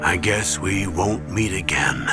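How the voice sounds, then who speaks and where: An actor playing an older military commander in a video game, solemn, measured and reassuring. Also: male voice, deep voice, lively intonation, over music. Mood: wistful.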